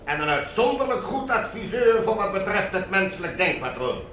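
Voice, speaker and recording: An actor playing a smug, commanding ruler of a Mars colony in a radio drama, mockingly formal and menacingly polite. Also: male voice, sits high, sing-song, moderate pace, a bit bright, echoey room, slight background noise.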